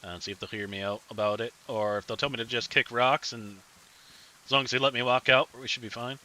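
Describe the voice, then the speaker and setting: A man's voice: medium pitch, fairly even intonation, brisk, pushed and bright, poor audio. A man streaming a survival game, chatting casually and rambling with his teammates. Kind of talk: casual gaming chat with teammates.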